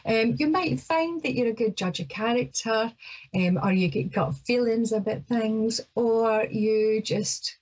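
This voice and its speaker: Husky Scottish woman, chatty and nervous. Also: fairly high pitch, a little slow, a little mellow, lo-fi sound. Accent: Scottish, Ayrshire. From Scotland. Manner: chatty and hesitant, with many fillers. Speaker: radio show host, psychic. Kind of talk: casual talk-radio monologue.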